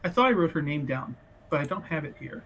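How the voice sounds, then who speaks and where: A man improvising casual, halting and wordy narration as a player in a tabletop roleplaying game. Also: a little high, sing-song, a bit bright, slight background noise.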